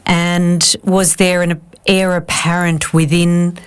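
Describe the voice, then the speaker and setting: A woman's voice: on the low side, little pitch movement, slow, clear, resonant tone. A woman hosting a podcast from a studio, reading a polished scripted intro and then asking attentive interview questions. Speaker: podcast host.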